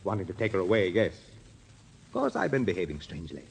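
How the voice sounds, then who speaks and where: An actor playing a bewildered, rattled gentleman with halting delivery in a 1940s radio drama. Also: deep voice, wildly swooping pitch, creaky, slightly rough, full character voice. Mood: bewildered, worried. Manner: halting, stammering. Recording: faintly noisy old radio recording.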